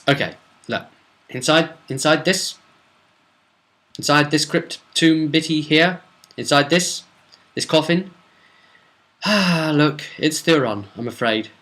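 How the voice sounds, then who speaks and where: Tabletop game serpent person played by a man: bright, forceful voice, mid pitch, earnest, apologetic, hesitant explainer. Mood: earnest, reassuring. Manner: hesitant, repeating himself while explaining. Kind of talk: tabletop role-play retelling.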